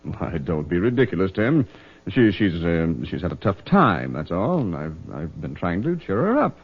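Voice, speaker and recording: An actor playing an earnest, defensive American police inspector in an old radio drama, emphatic and easily flustered. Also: male voice, low voice, big pitch swings, moderate pace, slightly rough, full character voice. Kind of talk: mid-century radio drama acting. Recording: muffled, dull old radio recording.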